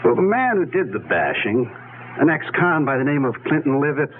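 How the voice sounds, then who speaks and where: An actor playing an earnest, ambitious young district attorney in a 1970s radio drama. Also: male voice, on the high side, sing-song, moderate pace. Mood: serious, friendly. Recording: narrow vintage radio recording with hiss.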